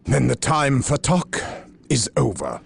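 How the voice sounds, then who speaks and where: A man playing a resolute armored space warrior captain in a video game, formal and declamatory, with a husky, gravelly voice. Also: mid-range voice, very animated, takes it slowly, exaggerated voice. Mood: solemn warning. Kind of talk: dramatic video game acting.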